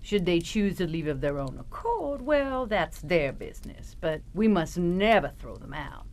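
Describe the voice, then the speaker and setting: An actress playing a genteel, proud, sweetly sinister Southern matriarch with a Southern US accent in a radio horror drama. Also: female voice, lowish voice, big pitch swings, unhurried, darkish tone.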